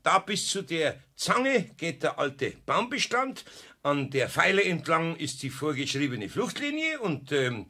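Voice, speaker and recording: Kindly old bavarian craftsman, husky but bright, folksy, kids radio play. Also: a little high, sing-song, unhurried, full character voice. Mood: sheepish, explaining. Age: older. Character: kindly, wily old male master craftsman. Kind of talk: German children's audio drama acting.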